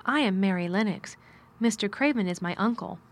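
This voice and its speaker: A woman's voice: medium pitch, a little fast, natural voice. A woman voicing a curious, forthright young girl in an audiobook, with a direct, questioning manner. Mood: startled. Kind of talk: audiobook character dialogue.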